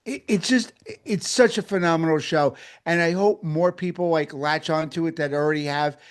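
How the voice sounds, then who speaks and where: Male co-host, gushing and emphatic, voice sits a little high. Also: normal speed, clear, resonant tone. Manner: enthusiastic, emphatic, repeats himself. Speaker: podcast co-host. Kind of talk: casual chat.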